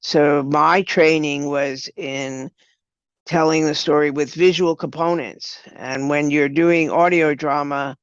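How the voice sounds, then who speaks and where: An older woman with a low, smooth voice, speaking conversationally as an interview guest about her work. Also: fairly even intonation, slow pace, no echo, highs cut off. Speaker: guest, writer and director.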